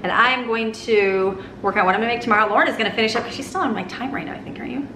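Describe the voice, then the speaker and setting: Woman, 30s, easygoing home vlogger talking while she tidies; echoey kitchen, steady room noise. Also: fairly high pitch, very fast. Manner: chatty, explaining as she works. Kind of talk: casual home vlog. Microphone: handheld camera.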